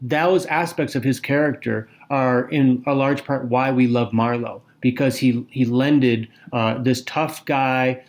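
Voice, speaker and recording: A man with a mid-range voice co-hosts a casual book podcast. He talks in a thoughtful, unhurried way, stopping now and then to pick his words. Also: medium pitch, steady tone. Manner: thoughtful, reads quotes aloud. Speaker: podcast co-host. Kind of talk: casual literary discussion.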